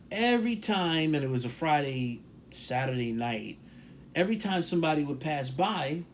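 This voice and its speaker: A man's voice: mid-range voice, slight background noise. Emphatic, rambling New man. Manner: rambling, emphatic, repeats himself for stress. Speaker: podcast co-host. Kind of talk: casual opinion talk.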